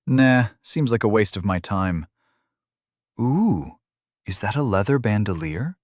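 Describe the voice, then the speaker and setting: A man's voice: on the low side, lively intonation, a little slow, no room sound, clean studio sound. A man voicing a petite young female adventurer, blunt and profane, with sarcastic asides.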